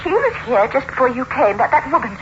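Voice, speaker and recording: A frightened woman hiding a secret in an old radio melodrama. Her voice is high and sweeps wildly as she falters and pleads, on a muffled, noisy old recording. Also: very animated, quick pace. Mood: fearful, confessional.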